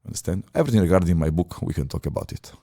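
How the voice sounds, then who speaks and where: A man's voice: sits low, expressive, creaky, mellow, husky. A man in his thirties with an Italian accent, a guest on a podcast, speaking English as a second language with many fillers and restarts. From Italy. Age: 30s. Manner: halting non-native phrasing with many fillers and restarts. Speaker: podcast guest, former chef. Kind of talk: casual interview talk.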